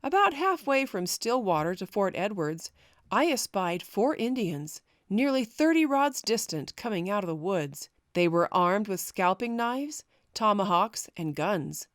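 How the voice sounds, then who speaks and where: A woman narrating a historical memoir as an audiobook, reading in a measured, formal way. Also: mid-range voice, sing-song, dry recording, clean studio sound.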